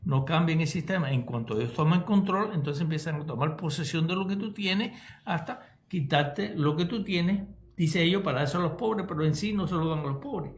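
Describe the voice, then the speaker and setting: A man's voice: on the high side, moderate pace, reverberant. Older Cuban man, emphatic radio talk. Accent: Cuban Spanish. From Cuba. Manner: emphatic, checks in with "¿ok?". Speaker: radio co-host, pastor and psychologist.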